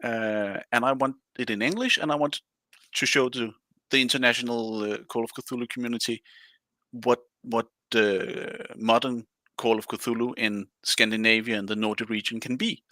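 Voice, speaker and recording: Man in his forties, from Denmark, bright tone, dry sound, rambling podcast guest. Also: mid-range voice, fairly even intonation, moderate pace, dry recording. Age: 40s. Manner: rambling, with many restarts and fillers. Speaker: guest, scenario writer. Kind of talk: casual podcast chat.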